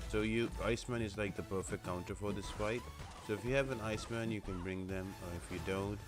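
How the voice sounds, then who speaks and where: Low-voiced man giving gaming tips. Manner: explanatory, instructional. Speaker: gaming guide host. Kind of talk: casual walkthrough commentary over gameplay.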